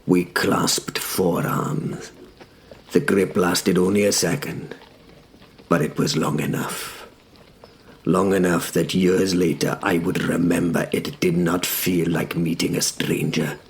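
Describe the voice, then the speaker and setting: Knight narrator, male actor: young, reflective, solemn audio drama storytelling, slow delivery, heavy vocal fry, noisy backdrop. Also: flat delivery, full character voice. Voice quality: low, gravelly. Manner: measured, solemn, hushed storytelling with deliberate pauses. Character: young male knight William, reflective, brave yet frightened. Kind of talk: scripted first-person dramatic narration. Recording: clear background noise and ambience.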